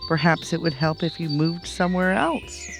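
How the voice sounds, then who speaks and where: A storyteller voicing a gentle, sleepy, slow-thinking bear with a mild, hesitant manner. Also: mid-range voice, gravelly, over music, close to an everyday voice. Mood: hopeful.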